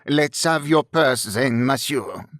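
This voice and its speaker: Young French bandit, read by a male narrator: gravelly affected growl, mocking, brazen, lively swings in pitch. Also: takes it slowly, no room sound, clean studio sound. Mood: menacing. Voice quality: grating, artificially deepened voice. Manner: mocking, taunting.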